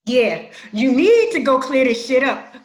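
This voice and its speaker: A hot-headed, bullying elder sister acted by a woman in a family stage drama. Her high voice swings widely as she confronts and threatens in slang, in a roomy, echoing space. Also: very animated, measured pace. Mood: angry, threatening. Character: bullying, hot-tempered grown elder sister Brenda, ready to fight. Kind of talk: online dramatic play reading. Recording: roomy echo, faint music.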